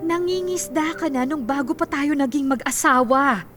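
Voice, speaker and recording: Worried wife scolding in a radio drama, high voice, earnest, bit of music under it. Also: female voice, normal speed, fairly bright tone. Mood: concerned, reproving. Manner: earnest, admonishing. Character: worried, reproving wife warning her stubborn husband. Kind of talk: Filipino radio drama acting.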